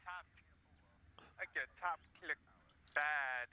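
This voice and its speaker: A man with a higher voice joking in casual voice chat, heard through a clipped in-game radio filter. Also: drawn-out words, bright, forceful tone, slight background noise. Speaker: fellow player on voice chat.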